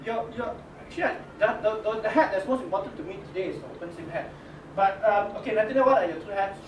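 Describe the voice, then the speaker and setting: Singaporean english guy running a workshop, highish voice, lots of restarts and okay?, echoey noisy room. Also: animated. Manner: halting, many restarts and "okay?" checks. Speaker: workshop leader introducing his team. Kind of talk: casual spoken presentation to teachers. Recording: echoey room from a distance with noise.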